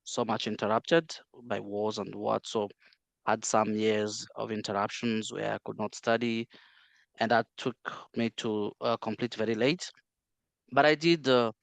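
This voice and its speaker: A man from the Democratic Republic of the Congo whose first language is Swahili, speaking English in an interview, measured and full of "uh" fillers and restarts. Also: on the low side, vocal fry, no echo. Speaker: interview guest, healthcare interpreter. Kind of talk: casual interview, recounting his life.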